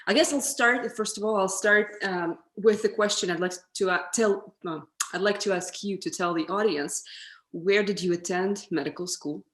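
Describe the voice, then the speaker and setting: A woman's voice: medium pitch, normal speed, reverberant. A woman hosting an interview, speaking in a friendly way with frequent restarts. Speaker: host. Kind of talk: casual interview.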